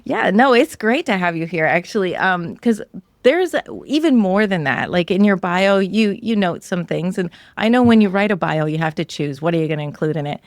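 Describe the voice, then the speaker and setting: Female podcast host, slightly low voice, conversational interview delivery, very dry recording. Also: lively intonation, normal speed, dry recording.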